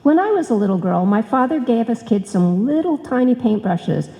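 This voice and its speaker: A woman's voice: a little high, sing-song, moderate pace, mellow. An actress playing a sweet, naive, scatterbrained young woman in an old-time radio comedy. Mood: cheerfully naive. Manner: naive, guileless. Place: live stage performance in a hall. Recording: live recording in reverberant hall.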